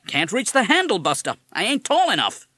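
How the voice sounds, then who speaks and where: A man's voice: a little high, very animated, unhurried, a bit bright, no echo, pitched above the performer's own voice, exaggerated voice. A man doing a brash gangster-talking parrot. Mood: cocky. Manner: brash American gangster slang. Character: streetwise tough-talking male parrot.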